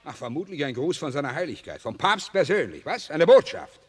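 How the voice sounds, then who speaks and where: Höhnischer SS-Offizier, hallig. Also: male voice, a little high, big pitch swings, fairly quick, breathy edge. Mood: mocking. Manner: sneering, taunting, barking orders. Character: sadistic mocking male SS officer. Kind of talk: 1960s German radio play acting. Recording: reverberant old mono radio play.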